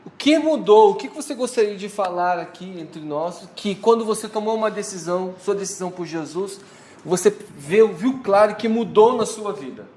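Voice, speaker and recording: A man's voice: high voice, animated, moderate pace, a little mellow. A Brazilian man teaching a church class, prompting the group with questions. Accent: Brazilian Portuguese. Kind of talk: leading a church lesson discussion.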